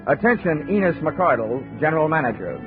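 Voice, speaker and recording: A wisecracking investigator narrates in a wry, dry way, his voice a little high. The sound is thin and phone-like, like an old radio drama, and music plays behind him. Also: very animated, fairly quick. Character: wisecracking male insurance investigator. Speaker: lead and narrator. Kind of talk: 1940s radio acting.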